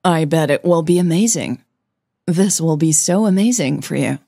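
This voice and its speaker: A woman's voice: deep voice, lively intonation, moderate pace, creaky, dark tone, dry recording, clean studio sound, heavily acted. A woman voicing an insecure woman in love who hides her hurt in an audio drama, restrained and self-doubting. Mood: eager.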